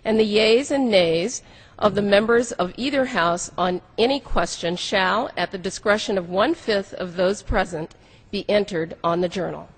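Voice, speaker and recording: A woman's voice: medium pitch, normal speed, slightly rough, rough recording. An American congresswoman giving a formal reading of a legal text.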